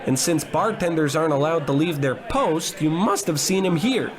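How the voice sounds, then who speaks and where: Casting: male actor as oblivious gumshoe, higher-pitched voice, grumbling and self-important, old-time radio detective spoof. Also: normal speed, crowd noise, close to an everyday voice. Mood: self-important, insistent. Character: bumbling, blustery, gluttonous male private investigator. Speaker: private detective in a radio play. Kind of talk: scripted comedy in old radio detective style.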